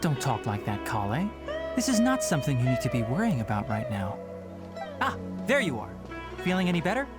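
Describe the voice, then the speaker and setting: A youthful forest ranger mentor from an anime game. The voice is light and animated in pitch, yet composed, caring and gently instructive. Clear music sits behind it. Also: male voice, a little fast. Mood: reassuring. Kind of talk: anime-style game voice acting.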